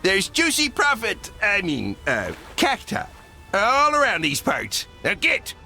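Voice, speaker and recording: Gravelly, greedy old crab. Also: male voice, high voice, wildly swooping pitch, slow, pushed and bright, faint music behind, full character voice. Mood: sly. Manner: blustering, sly, salty. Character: greedy old crusty cartoon crab, scheming sailor. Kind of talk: animated video game voice acting.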